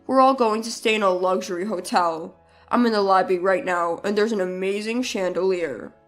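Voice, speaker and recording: A woman's voice: medium pitch, moderate pace, pitched below the performer's own voice, close to an everyday voice. An arrogant, irritable husband acted out by a woman narrator in an audio story. The delivery is brash and defensive. Music plays behind. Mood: smug, boastful. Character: arrogant, selfish, irritable husband caught deceiving his wife.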